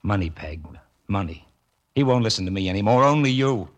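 An actor playing a loyal, worried sidekick to a boxer, earnest and pleading in 1950s radio drama style. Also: male voice, on the low side, expressive, a little fast, natural voice.

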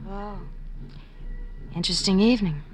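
A woman's voice: mid-range voice, moderate pace, music in the background, lightly acted. An actress playing a worldly, teasing streetwise woman in a 1950s radio drama, coy and insinuating. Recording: dull, noisy vintage radio recording.

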